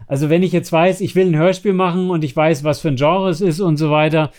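A man's voice: on the high side, fairly even intonation, a little mellow. A man co-hosting a podcast, chatting casually and giving tips, often stumbling over the starts of his words. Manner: halting, stammering over word starts. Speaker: podcast co-host.

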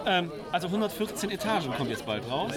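A man's voice: high-pitched, sing-song, fast talker. A man giving a casual interview, with hesitant, self-correcting phrasing. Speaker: interviewed author and podcaster. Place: busy audio drama convention. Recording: busy convention crowd behind voice.

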